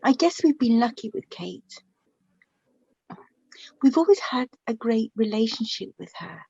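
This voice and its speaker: A woman playing a gentle, flustered middle-aged widowed mother in a radio drama, halting and repeating her words. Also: a little high, animated, vocal fry, slightly rough, dry recording, dull audio, full character voice. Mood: fond, nostalgic. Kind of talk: naturalistic radio drama dialogue.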